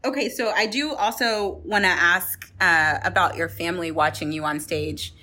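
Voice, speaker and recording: A woman with a lowish voice and a bright, forceful edge. She speaks as host and writer in a casual discussion, feeling her way through sentences. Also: moderate pace, a little hiss or hum. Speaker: playwright and host.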